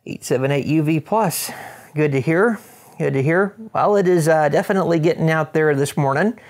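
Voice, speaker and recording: A man's voice: on the high side, moderate pace. A middle-aged man with an American accent presenting an instructional demonstration with casual radio chat, methodical in his delivery, recorded in an indoor studio. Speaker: presenter, ham radio operator. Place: indoor studio with wood-panel backdrop.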